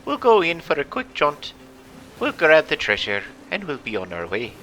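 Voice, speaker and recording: A man's voice: on the high side, huge pitch swings, normal speed, bright, forceful tone, slight background noise, full character voice. A man voicing a blustery, theatrical pirate captain who spins adventure tales in exclamatory old seafaring talk. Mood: reassuring. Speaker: storyteller and host. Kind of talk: in-character adventure game narration for children.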